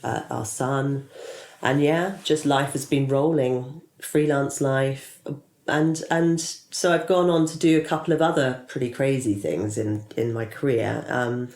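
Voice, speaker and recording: A woman's voice: a bass voice, steady tone, a little slow, a little mellow. A woman in her forties, a PR consultant and interview guest, chatting casually and rambling with many restarts. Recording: echoey room.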